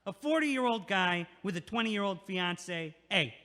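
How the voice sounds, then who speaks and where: An actor in an audio play voicing a pushy, meddling New York night-shift neighbor, blunt and sarcastic. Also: male voice, sits high, lively intonation, moderate pace, sharp tone, full character voice. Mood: mocking. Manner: blunt, sarcastic, street-talking. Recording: echoey, long hallway-like reverb.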